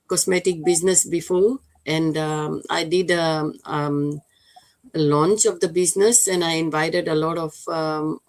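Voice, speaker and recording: An older woman tells her own story as an interview guest. Her voice sits low for a woman, and she speaks in a measured way with many small fillers. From Fiji.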